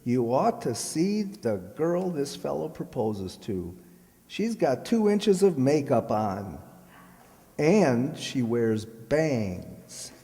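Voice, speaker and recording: Man acting slow, mellow naive fellow in hall. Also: medium pitch, very animated. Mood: earnest. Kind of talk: amateur stage-style old radio comedy acting. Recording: echoey hall, long reverb.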